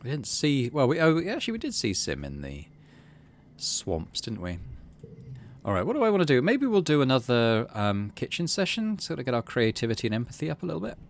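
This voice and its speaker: A man's voice: mid-range voice, huge pitch swings, fairly quick, gravelly, a little hiss or hum. A man hosting a gaming video, narrating and musing in a casual, conversational way. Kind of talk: casual playthrough commentary and narration.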